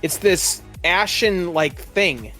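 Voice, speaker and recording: Male game master, lightly high register, descriptive storytelling, faint music underneath. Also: expressive, takes it slowly, pushed and bright. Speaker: game master and podcast host. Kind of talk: narrating a tabletop roleplaying game.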